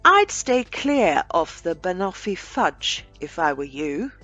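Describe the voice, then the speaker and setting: A woman voicing an overbearing, booming male boss in a storybook reading, condescending and subtly threatening. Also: sing-song, slow, fairly bright tone, faint music behind, full character voice. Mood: overbearing, menacing.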